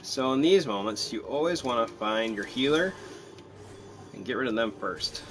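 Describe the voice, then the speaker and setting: A man's voice: medium pitch, expressive, moderate pace, a little hiss or hum. A man casually commentating on his live game stream, chatty and self-deprecating, thinking aloud as he plays.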